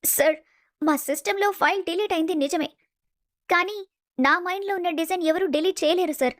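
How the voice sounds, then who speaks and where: A woman's voice: very high voice, brisk, fairly bright tone, no echo, studio quality. A woman playing an earnest, determined young engineer who pleads her case in an audio story. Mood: urgent, determined. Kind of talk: dramatised audio story acting.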